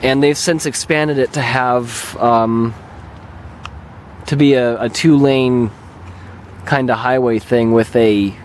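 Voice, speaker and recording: A man speaks at a middle pitch with a slightly raspy, hoarse edge. He chats in an unhurried, musing way, as a channel host would. He is recorded outdoors on a handheld camera, with faint ambient noise around him. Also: fairly even intonation. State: mildly hoarse, recovering throat. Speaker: video channel host and gamer. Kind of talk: casual rambling vlog talk. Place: open lakeshore beach outdoors. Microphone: handheld camera held by the speaker.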